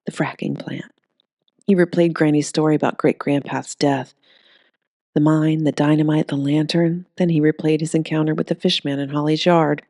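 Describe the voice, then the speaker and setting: Creaky-voiced audiobook narrator. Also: mid-range voice, level tone, moderate pace, darkish tone, no room sound, clean studio sound. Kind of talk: scripted story narration.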